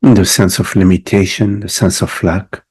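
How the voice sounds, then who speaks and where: Man, low-pitched, very breathy husky voice, guided meditation, reflective short phrases, long pauses. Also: little pitch movement, a little mellow. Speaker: meditation teacher.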